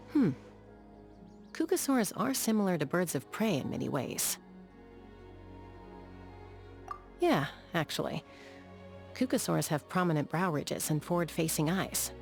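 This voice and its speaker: Game role: battle-hardened young female sky gunslinger. Lower-than-average pitch, calm, matter-of-fact delivery over background music. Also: creaky, exaggerated voice. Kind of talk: video game character voice lines.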